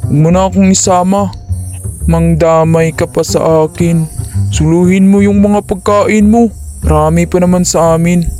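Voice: mid-range voice, natural voice. An actor voicing a lazy, carefree, irritable young male grasshopper in a cartoon fable, whiny, cocky and slangy. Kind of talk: cartoon fable character dialogue in Tagalog. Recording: loud music behind the voice. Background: music.

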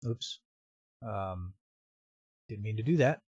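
A man walks through software setup step by step in an informal, explanatory manner. His voice is mellow and sits at a middling pitch. The recording is dry and somewhat dull, as from a close voice-over microphone. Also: animated, takes it slowly, breathy edge, no echo. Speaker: software tutorial presenter. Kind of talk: step-by-step screen tutorial narration.

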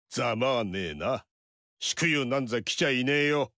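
A man voicing a gruff veteran warlord with a rough, teasing, drawling way of talking in video game dialogue. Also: mid-range voice, lively intonation, unhurried, pushed and bright, dry recording, clean studio sound. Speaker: warlord character.

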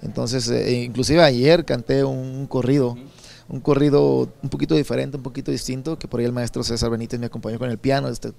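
A Mexican man in his thirties from Sinaloa, answering interview questions at an indoor expo booth into a handheld mic, relaxed and rambling with many repeated words. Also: medium pitch, brisk, vocal fry. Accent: Sinaloan Mexican Spanish. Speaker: songwriter and singer.

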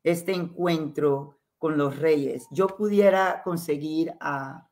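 Brief: middle-aged San Andrés-accented woman, low and unhurried, impassioned guest on a home video call. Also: a little mellow. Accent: San Andrés island. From Colombia. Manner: impassioned, emphatic, dropping code-switched English asides. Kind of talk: interview over video call. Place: home room.

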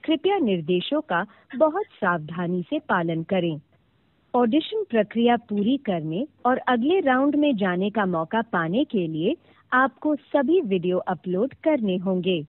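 A woman's voice: mid-range voice, very animated, a little slow, no echo. A woman reading a formal, scripted instructional announcement on a recorded phone call. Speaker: automated phone line announcer. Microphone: phone line.

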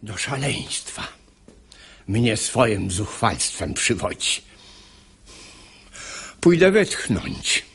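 A husky, wildly swooping irascible father. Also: lowish voice, big pitch swings, drawn-out words, long pauses, a bit bright, full character voice. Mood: exasperated, angry. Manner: blustering, emphatic, pompous. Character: stubborn, irascible middle-aged father, pompous master of the house. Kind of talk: classical verse comedy, radio play acting.

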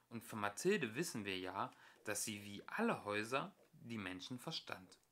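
Bright-voiced young man reading a children's story. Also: mid-range voice. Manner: expressive storytelling. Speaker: amateur audiobook narrator.

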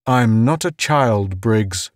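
A man's voice: lowish voice, lively intonation, slow, no echo, clean studio sound. A man reading the part of a bitter, weary outpost spaceman in an audiobook, dull and sardonic, often muttering. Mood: sullen. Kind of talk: audiobook character voice.

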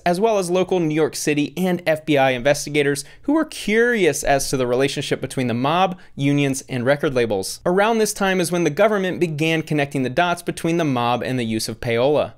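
An American man in his thirties delivering scripted narration as the host of a video essay, close on a desk microphone. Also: fairly high pitch. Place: small room with bookshelves, indoors. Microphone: desk condenser mic with pop filter.

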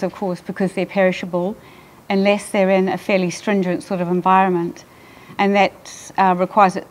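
An older woman with a New Zealand accent, giving a conference talk at a lectern with a soft, measured voice, often pausing on ums. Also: mid-range voice. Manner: soft-spoken, measured, frequent ums and restarts. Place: lecture hall with audience. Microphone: lectern microphone.

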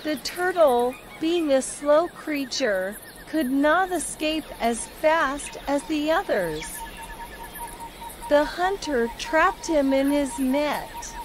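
A woman narrates a children's story in a scripted storytelling style. Behind her runs a clear layer of noise, with insects chirping and birds singing. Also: high-pitched, animated, slow.

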